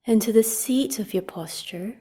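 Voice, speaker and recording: A woman leading a guided meditation as a teacher, giving calm, gentle, unhurried instructions with long pauses and a soft voice that turns slightly breathy at phrase ends. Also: mid-range voice.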